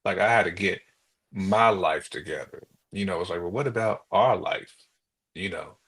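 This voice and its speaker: A man with a deep voice chats casually as a podcast co-host. He reasons his way through points out loud, often doubling back on his words in a conversational style. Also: moderate pace, breathy edge.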